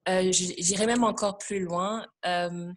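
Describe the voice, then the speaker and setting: A woman taking part in a discussion, giving informal personal testimony and pausing often to find her words. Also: lowish voice, steady tone, slight background noise. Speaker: discussion participant, immigrant services worker.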